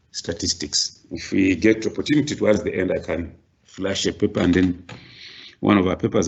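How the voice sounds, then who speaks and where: A middle-aged male lecturer with a very low, gravelly and husky voice, working through a workshop slowly and repeating himself as he explains. The sound is muffled and lo-fi, like an online lecture recording. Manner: explains slowly with many restarts and rising stresses.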